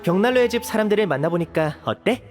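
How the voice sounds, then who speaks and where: A young elder brother in a game, poised and caring. His voice is high and very lively in melody, set in long reverb over music. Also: fast. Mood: friendly. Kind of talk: Korean video game voice acting.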